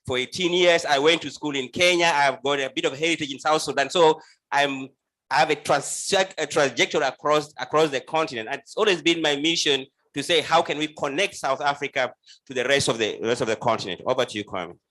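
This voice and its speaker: A man's voice: fairly high pitch, brisk, pushed and bright. A man from Uganda moderating a panel, introducing speakers and often restarting his sentences. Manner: stumbling restarts, repeats words.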